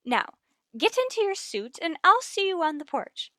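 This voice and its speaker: A gushing, bossy middle-aged wife who loves matchmaking. She speaks high and with swooping, theatrical emphasis, like old radio comedy. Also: very animated, moderate pace, pushed and bright, dry recording, clean studio sound, heavily acted. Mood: eager, instructing. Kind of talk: 1940s-50s radio sitcom acting.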